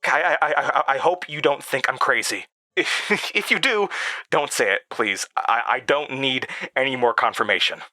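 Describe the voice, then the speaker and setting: An actor playing an anxious, rattled man who haltingly recounts eerie memories, stammering and interrupting himself, in a horror audio drama. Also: mid-range voice, animated, bright, forceful tone. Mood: nervous, weary. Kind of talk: first-person horror audio drama monologue.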